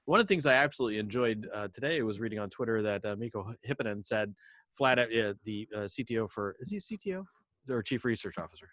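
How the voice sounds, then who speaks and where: A man's voice: fairly low pitch, expressive, fast talker, fairly bright tone, dry recording. A man co-hosting a podcast, discussing news casually with emphatic phrasing. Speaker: podcast co-host.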